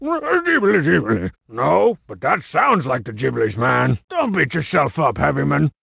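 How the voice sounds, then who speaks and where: An actor playing a cocky, scheming cartoon masked wrestler in a video game, boastful and mocking, with a gravelly, raspy voice. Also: male voice, on the high side, big pitch swings, unhurried, fairly bright tone, no room sound, exaggerated voice. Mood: uneasy. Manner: boastful, mocking, swaggering wisecracks. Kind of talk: video game character barks.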